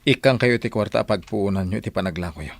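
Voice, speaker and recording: A fed-up grown son in an Ilocano radio drama. His voice is fairly low and closely recorded, firm and lecturing. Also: fast talker, vocal fry, no room sound. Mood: firm, stern. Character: stern, fed-up grown son scolding his gambling mother.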